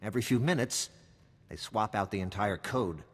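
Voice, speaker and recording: A man's voice: on the low side, moderate pace, husky, big-room sound. A man voicing a weary, wry intelligence officer in a video game, briefing in a matter-of-fact, conspiratorial way. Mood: grave, urgent.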